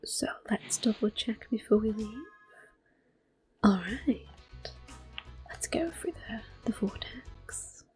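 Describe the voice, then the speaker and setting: A woman hosts a relaxing gameplay video and talks through it in a soft, gentle whisper, now and then laughing quietly. Her pitch sits mid-range, and faint game music plays behind her. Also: animated, slow, pauses often, rough recording. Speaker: ASMR gameplay host. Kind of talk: whispered ASMR gameplay commentary.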